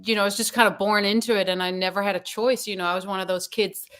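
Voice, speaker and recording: Woman from Denmark, average pitch, lively storyteller. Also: fast talker. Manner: lively, laughs easily, storytelling. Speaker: actress guest. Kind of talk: casual interview chat.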